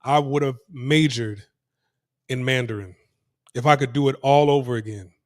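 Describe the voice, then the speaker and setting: A man's voice: medium pitch, normal speed, dry recording. Man, casual street vlog, rambling, repeats himself, dry close sound. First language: English. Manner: rambling, repeats himself, many restarts and fillers. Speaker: vlogger. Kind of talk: casual walking vlog, talking to camera. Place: outdoors, on city streets, moving around.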